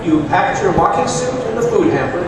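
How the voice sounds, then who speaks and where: An actor playing a shrewd, composed gentleman detective, knowing and precise, in old-time radio theatre style. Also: high voice, animated, fairly quick. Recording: echoey hall, far off, background noise.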